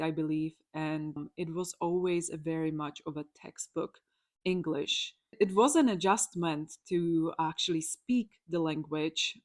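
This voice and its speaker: A young woman from the Czech Republic with a Czech accent, an electrologist telling her personal story in a chatty, candid vlog. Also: fairly low pitch. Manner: chatty, candid, with fillers and restarts.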